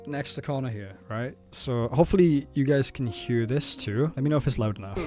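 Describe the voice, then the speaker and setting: A South Welsh man in his thirties talks casually over his own footage at an ordinary pitch. He sounds nervous and self-mocking, breaking into laughter and swearing freely. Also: a little fast, darkish tone, soft background music. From South Wales. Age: 30s. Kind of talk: casual commentary.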